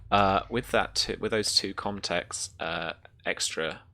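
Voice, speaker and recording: A woman's voice: very deep voice, slow pace, a bit bright. A woman running a tabletop roleplaying game, speaking casually and improvising with some hesitation. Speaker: game master.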